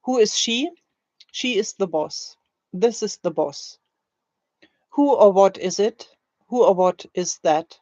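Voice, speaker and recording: A woman teaching a language, reading scripted drill phrases in a deliberate, carefully spaced way. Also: medium pitch, animated, a little mellow, dry recording, dull audio. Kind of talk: slow, scripted language-learning drill, read aloud.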